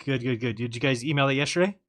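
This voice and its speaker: A man's voice: mid-range voice, very fast. A man hosts a live call-in show in a mid-range voice. He chats casually with callers and jokes easily. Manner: friendly, joking with callers.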